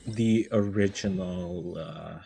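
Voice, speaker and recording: A man's voice: low-pitched, slow, creaky, warm tone, slight background noise. A man giving casual game livestream commentary, muttering and thinking aloud to himself.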